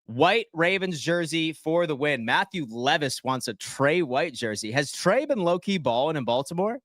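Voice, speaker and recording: A man, hosting a casual sports livestream and answering viewers' comments in slangy, animated talk. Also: a little high, moderate pace, dry recording, studio quality. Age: 30s. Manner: slangy, animated, reads chat aloud and answers.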